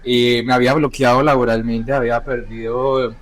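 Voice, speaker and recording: A young adult man, a chef, talking candidly about his life in a halting, self-correcting way. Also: mid-range voice, little pitch movement. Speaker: chef, podcast guest. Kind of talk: candid personal conversation.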